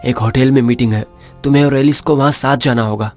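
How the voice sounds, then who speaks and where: A man's voice: mid-range voice, steady tone, fast talker, darkish tone, soft background music, close to an everyday voice. An actor playing a friendly, practical male business partner in a Hindi audio romance drama. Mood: urging.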